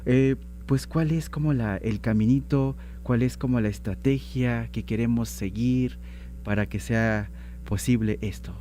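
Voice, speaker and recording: A man's voice: mid-range voice, fairly even intonation, unhurried, dark tone, a little hiss or hum. A Mexican man hosting a conversational radio interview, feeling his way toward words with hesitant phrasing. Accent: Mexican Spanish.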